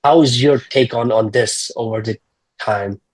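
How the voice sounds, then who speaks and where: A man's voice: mid-range voice, a little slow, a little mellow, slightly rough. Male interviewer, mid-range voice, casual and curious. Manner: curious, casual questioning with fillers. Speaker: podcast host. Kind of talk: interview questions.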